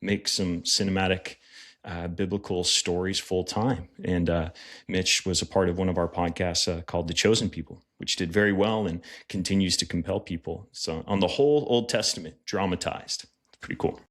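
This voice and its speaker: A man with a deep, low voice talks in an earnest, reflective way as a podcast guest. He pauses often on fillers as he answers. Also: fairly even intonation, fairly bright tone. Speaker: podcast guest, pastor and writer. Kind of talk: conversational interview answers.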